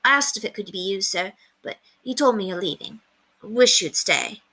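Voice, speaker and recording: A woman's voice: mid-range voice, animated, normal speed, sharp tone, slightly rough, rough recording. A woman voicing an eager, respectful young page boy in a storybook read-aloud. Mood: hopeful, wistful. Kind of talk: storybook character reading.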